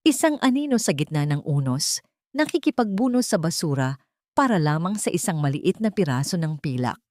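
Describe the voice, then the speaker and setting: A woman's voice: low voice, sing-song, brisk, a little mellow, no room sound, clean studio sound. A woman reading a scripted story as an audiobook narrator, in a dramatic storytelling style.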